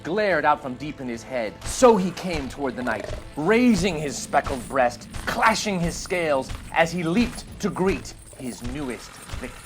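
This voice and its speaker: A man reading a children's adventure story aloud in a theatrical, expressive, dramatic narrating style. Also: fairly high pitch.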